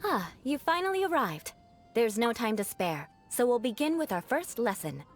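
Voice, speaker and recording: Haughty, high-voiced young noblewoman. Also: big pitch swings, normal speed, background noise. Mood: composed, haughty. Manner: formal, haughty, precise wording. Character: proud young aristocrat woman, haughty, theatrically vengeful. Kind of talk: video game voice acting. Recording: game music behind the voice. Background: music.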